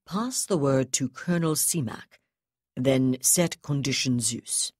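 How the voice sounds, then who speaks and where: A woman reading the lines of a calm, contemptuous male naval captain in an audiobook, speaking slowly and evenly. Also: extremely low pitch, no room sound, clean studio sound, heavily acted. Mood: coldly calm.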